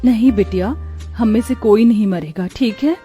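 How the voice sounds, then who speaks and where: A woman voicing a gentle, long-suffering young pregnant village wife with a tender, plaintive manner. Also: mid-range voice, moderate pace, soft background music, close to an everyday voice. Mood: reassuring.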